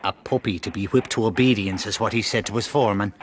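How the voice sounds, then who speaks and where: A hearty, defiant Irishman of the working sort played by a male actor in a period radio play. He talks bluntly and colloquially in a fairly low voice, with clear noise behind him. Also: fairly even intonation, quick pace, lightly acted. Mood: confiding warning. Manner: colloquial, outspoken. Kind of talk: radio period drama acting.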